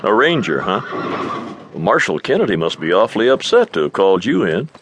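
Western audio drama: strapping livery man, chatty, colloquial, animated intonation. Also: lowish voice, brisk, slightly rough, full character voice. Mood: curious, gossipy. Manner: folksy, colloquial western way of talking. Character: big, strapping livery stable owner, folksy and talkative.

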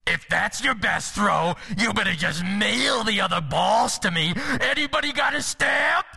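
A jeering dunk-tank clown for an audiobook. The voice is harsh and heavily fried, pitched high and cutting. He taunts and needles with sarcastic relish. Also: expressive, unhurried, bright, forceful tone, exaggerated voice. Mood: taunting. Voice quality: harsh, rasping, gravelly. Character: loud, mocking carnival dunk-tank clown heckling customers. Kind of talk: full-cast audiobook acting.

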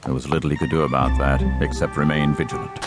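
A man with a very low voice narrates a western story. He reads scripted prose in a measured, dramatic storytelling way, with clear music beneath him. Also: level tone. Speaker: audiobook narrator.

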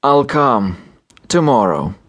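A reader voicing an exhausted, feverish male country doctor who mutters haltingly and grudgingly in a story. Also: medium pitch, animated. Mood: reluctant.

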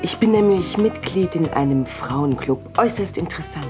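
A woman's voice: lowish voice, lively intonation, moderate pace, music in the background, lightly acted. An actress playing a secretive, assured woman who coaxes others into a cult in a German audio drama. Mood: friendly, coaxing. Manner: coaxing, assured.

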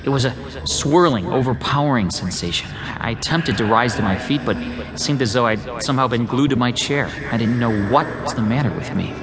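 An actor playing an obsessed, lonely, self-doubting amateur artist in an audio drama, speaking earnestly and intensely. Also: male voice, mid-range voice, fairly quick, close to an everyday voice. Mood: brooding, uneasy. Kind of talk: dramatized audio drama, first-person narration and dialogue.

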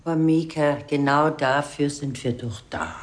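An older actress playing a brisk grandmother who runs a horse farm. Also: low-pitched, slight background noise.

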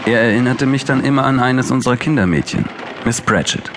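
An actor playing a brooding, sardonic older brother in an audio drama, both narrating and in dialogue. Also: male voice, mid-range voice, fairly quick, background noise, lightly acted. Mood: brooding, reflective. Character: bitter, brooding man in wheelchair, older brother. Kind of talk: German audio drama acting and first-person narration.

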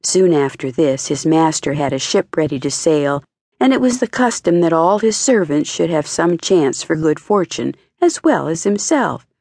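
A woman reading a children's fairy tale as an expressive audiobook narrator. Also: sits low, moderate pace, no echo. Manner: expressive storytelling.

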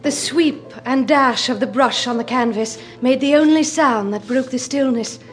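A woman narrating a radio drama in a measured, descriptive way. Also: high-pitched, soft background music. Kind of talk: scripted narration.

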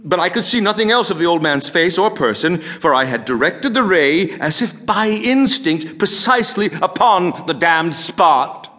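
A man's voice: mid-range voice, natural voice. Man acting a theatrical, crazed gothic murderer. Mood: furious, manic. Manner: theatrical, halting, with drawn-out words and stammered repetitions. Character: crazed, obsessive male murderer confessing with feverish glee. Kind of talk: dramatic reading of gothic horror monologue.

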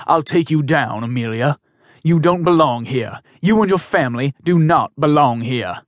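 A man's voice: fairly high pitch, lively intonation, normal speed, dry recording, lightly acted. A man voicing a bitter, menacing grieving father. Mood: threatening. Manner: menacing, scornful. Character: bitter grieving middle-aged man, threatening.

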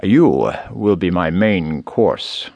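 Man as sly storybook fox, male dandy predator, slow, smug, smooth, lowish voice. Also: expressive, darkish tone, no room sound, heavily acted. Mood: gloating. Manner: smooth, drawn-out, self-satisfied. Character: wily, suave male fox villain, grinning dandy predator.

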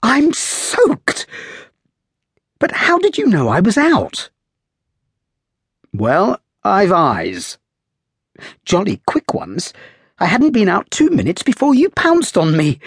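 A man voicing a lively, teasing young woman passenger on a ship, speaking high and playful. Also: slow pace, pauses often, slightly rough, no echo, clean studio sound, full character voice. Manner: bright, playful, raised pitch.

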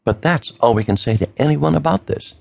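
A man's voice: medium pitch, lively intonation, brisk, gravelly, pitched above the performer's own voice. A man voicing a frightened older grandfather who speaks in an anxious, pleading way. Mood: firm.

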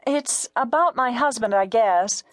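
Woman voicing female call-in listener, high-pitched, gushing, bashful, halting, audiobook character. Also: dry recording, clean studio sound, close to an everyday voice. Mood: hesitant, guilty.

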